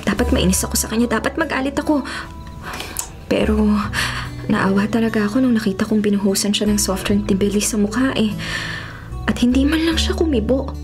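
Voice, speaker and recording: An actress playing a feisty, expressive young Filipino college woman, a mayor's bratty daughter, in a radio drama. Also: medium pitch, a little fast, close to an everyday voice. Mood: wistful, confiding. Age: young adult. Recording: loud music under the voice.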